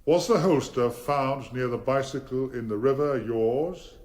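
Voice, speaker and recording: An actor as a deliberate, formidable barrister. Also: male voice, medium pitch, a little mellow, close to an everyday voice. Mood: probing, insistent. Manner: deliberate, rhetorical questioning. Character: formidable middle-aged male barrister, probing in court, gentle as father. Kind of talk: radio courtroom drama acting.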